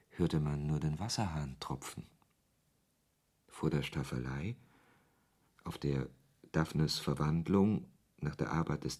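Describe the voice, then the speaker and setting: An author narrating a radio play. His voice is very deep and husky, and the delivery is deliberate, reflective and knowing. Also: darkish tone, full character voice. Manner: measured, literary, deliberate. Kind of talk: scripted narration in a German radio drama.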